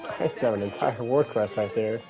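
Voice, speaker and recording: Low-voiced man streaming a game, thinking aloud. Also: a little fast, music in the background. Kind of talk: casual play-along commentary, reading game lines aloud.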